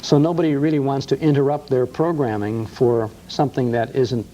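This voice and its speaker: A man's voice: mid-range voice, fairly even intonation, fairly quick, mellow, lo-fi sound, slight background noise. A middle-aged man, a radio host chatting enthusiastically as an interview guest and telling stories with many fillers. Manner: enthusiastic, storytelling, frequent uh fillers. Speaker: radio host and museum co-founder. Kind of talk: casual interview chat.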